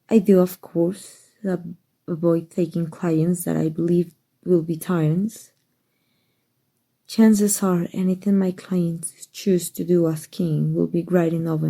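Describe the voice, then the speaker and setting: Woman acting a soft, dark-toned gentle vampire lady. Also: lowish voice. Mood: calm, matter-of-fact. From Mexico. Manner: gentle, genteel, measured, affectionate. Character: kind, composed, centuries-old female vampire aristocrat. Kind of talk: ASMR roleplay, soft intimate acting.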